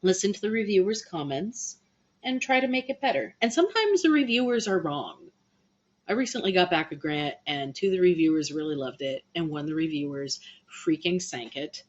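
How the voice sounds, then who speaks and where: A woman hosting a casual livestream, chatting to viewers in an animated, playful way, on dull lo-fi audio. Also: sits low, a little fast. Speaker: livestream host and band musician.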